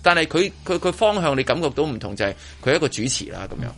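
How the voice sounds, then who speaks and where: A man's voice: fairly high pitch, big pitch swings, rapid-fire. A middle-aged man with a Hong Kong accent chatting casually as a radio host, rambling and repeating his phrases as he goes. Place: radio studio.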